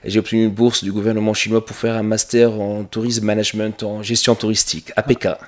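A lower-pitched man, an Arabic speaker, speaks as a guest on a studio radio show. He talks earnestly but haltingly, often restarting his phrases as he explains his work as a tour guide. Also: little pitch movement. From Tunisia. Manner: hesitant, many fillers and restarts, earnest. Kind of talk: radio interview answers.

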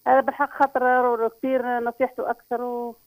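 A woman's voice: a little high, level tone, a little fast, no room sound, soft background music, poor audio. A woman with a Tunisian accent calling a radio show, giving earnest, chatty advice in a repetitive, effusive way. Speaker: radio phone-in caller.

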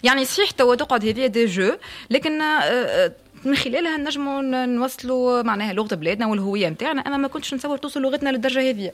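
A woman with a Tunisian accent, presenting segments in a lively way as a talk show host. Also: high voice, racing. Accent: Tunisian Arabic.

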